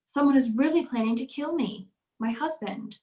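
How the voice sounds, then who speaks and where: An actress plays an anxious wealthy wife in an old-style radio thriller. Her voice sits high and pleads earnestly for belief. The sound is noticeably roomy. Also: normal speed, darkish tone, lightly acted. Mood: grave, pleading. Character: anxious wealthy wife convinced her husband wants her dead. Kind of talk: old-time radio drama acting.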